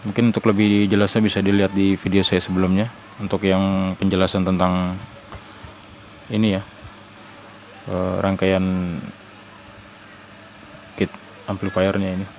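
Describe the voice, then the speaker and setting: A man giving a casual, halting, informal hands-on demonstration, pausing mid-phrase. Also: low voice, monotone, darkish tone, a little hiss or hum, low-quality recording. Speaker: host of a DIY audio channel.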